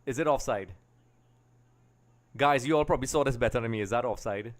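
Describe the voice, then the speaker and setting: A man's voice: medium pitch, fairly quick. A middle-aged man from Trinidad and Tobago, chatting casually to viewers as he hosts a football watch-along in a rambling way. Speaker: livestream host. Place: small home streaming room. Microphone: desk microphone on a boom arm.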